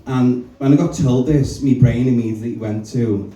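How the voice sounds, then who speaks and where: A man's voice: mid-range voice, normal speed. A young man doing a brash, self-mocking stand-up set in a small comedy club. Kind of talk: stand-up set with crowd work. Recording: echoey room, distant mic, loud crowd laughter.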